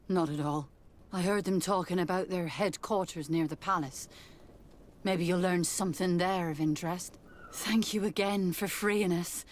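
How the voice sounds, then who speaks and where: A woman's voice: on the low side, natural voice. A woman voicing an uneasy freed sailor in a video game, earnest and grave. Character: grateful, uneasy female sailor, freed captive.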